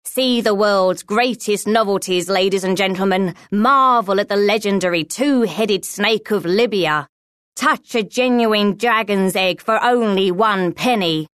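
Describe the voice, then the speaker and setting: A woman voicing a stout, brusque fairground showman with booming, theatrical patter in a storybook reading. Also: unhurried, fairly bright tone, clean tone, dry recording, clean studio sound, natural voice. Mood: booming, enticing.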